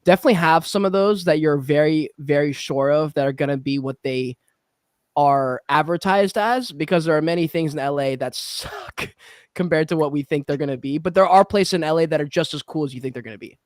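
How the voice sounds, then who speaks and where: A man's voice: a little high, a little fast, no room sound, studio quality. A young man hosting a casual interview podcast, laid-back and swearing casually. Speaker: podcast host.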